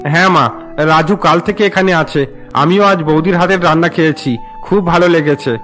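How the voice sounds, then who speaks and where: A man acts an earnest adult son in a cartoon drama. His voice is high and sharp, set over background music. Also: steady tone, fairly quick, lightly acted. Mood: earnest, defensive. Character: earnest grown son explaining himself to mother. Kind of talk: cartoon family melodrama acting.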